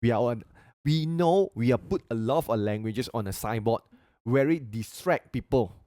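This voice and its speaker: A young man with a Malaysian English accent co-hosting a casual podcast, speaking haltingly and searching for words in broken English. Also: medium pitch, dark tone, no room sound, studio quality. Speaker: podcast co-host.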